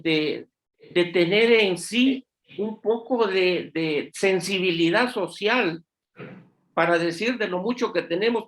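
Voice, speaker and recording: Man from honduras talking on a panel, kinda high voice, earnest, keeps restarting words. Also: a bit bright. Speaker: panelist physician. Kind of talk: panel discussion remarks.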